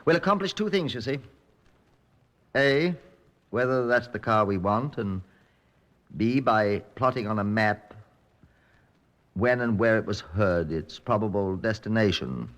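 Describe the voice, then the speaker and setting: A composed British Scotland Yard chief inspector, speaking in a low voice. He is clipped, methodical and authoritative, in the manner of an old radio crime drama. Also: lively intonation, unhurried, pauses often, a bit bright. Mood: commanding. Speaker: lead actor and narrator in a radio crime drama.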